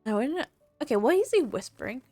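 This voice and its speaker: A woman livestreaming a game, reacting casually in halting, murmured asides. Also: high voice, very animated, warm tone, husky, no echo, soft background music. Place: indoors, streaming over game audio.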